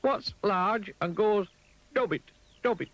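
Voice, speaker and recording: A man voicing a simple, cheerful little storybook man in a high, eager voice. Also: animated, slow, dry recording, muffled recording, exaggerated voice. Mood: cheery.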